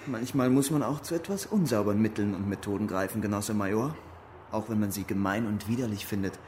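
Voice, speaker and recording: An actor playing a young soldier, cunning and coolly defiant, speaking in a controlled, formal, evasive way in a radio crime drama. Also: male voice, lowish voice, a little fast, a little mellow, a little hiss or hum, lightly acted. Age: young adult.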